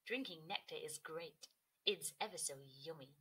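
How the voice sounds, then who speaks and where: A woman voicing a kindly bumblebee in a children's storybook, soft and reassuring. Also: low voice, normal speed, breathy edge, voice pushed down.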